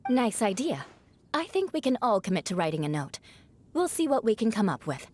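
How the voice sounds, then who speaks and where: A woman's voice: medium pitch, sing-song, fairly quick, a little mellow, slight background noise, natural voice. A woman voicing a composed, matter-of-fact female knight in a video game, self-assured and formally worded. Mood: composed, helpful.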